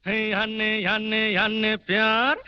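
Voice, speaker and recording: A man's voice: high-pitched, flat delivery, takes it slowly. A man singing a playful old Indian film song over its musical accompaniment. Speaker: singer. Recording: dull vintage film song with loud band. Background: loud film music.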